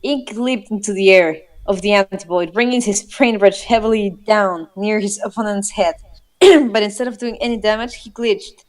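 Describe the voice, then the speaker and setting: A woman's voice: medium pitch, normal speed. A woman reading fan fiction aloud in a casual, informal way, slipping into giggly banter as co-host.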